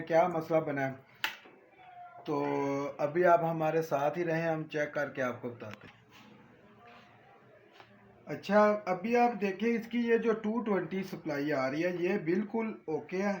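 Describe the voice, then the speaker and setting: A man giving an informal hands-on repair tutorial, speaking straight to viewers and repeating his points. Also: a little high. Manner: addresses viewers directly, repeats points and checks for understanding. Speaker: electronics repair tutorial host. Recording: echoey room, background noise.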